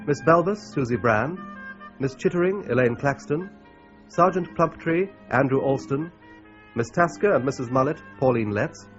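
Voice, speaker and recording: A man's voice: mid-range voice, normal speed, dull audio, faint music behind. A British man reading scripted credits formally as a radio announcer.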